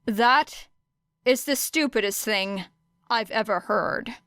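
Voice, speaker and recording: A woman's voice: a little high, slow, pushed and bright, clear, resonant tone, dry recording. A woman voicing a blunt, teasing teenage girl in an audiobook, with a dry, sardonic manner.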